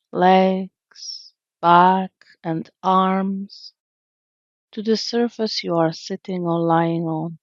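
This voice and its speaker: A woman leading a guided meditation in a gentle, soothing, unhurried way with long pauses, in a slightly dull recording. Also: mid-range voice, dry recording. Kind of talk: guided meditation, slow calm narration.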